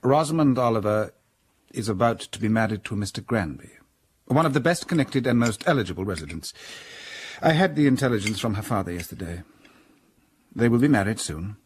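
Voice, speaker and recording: A man's voice: fairly low pitch, expressive, slightly rough. A stern, cold young clergyman. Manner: formal, measured and reserved. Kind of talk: radio drama.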